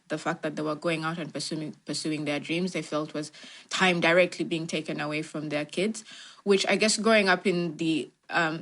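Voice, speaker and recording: A woman from Uganda speaks with a Ugandan accent in a low register. She answers in a conversational, reflective way, explaining her thoughts step by step with frequent "you know". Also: fairly bright tone. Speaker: tech organisation founder, interview guest.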